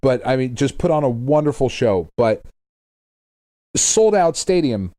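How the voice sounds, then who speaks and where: A man in middle age with a very animated voice that moves widely in pitch. He talks like a podcast co-host in loose, casual chat, emphatic and irreverent, with plenty of swearing. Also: measured pace.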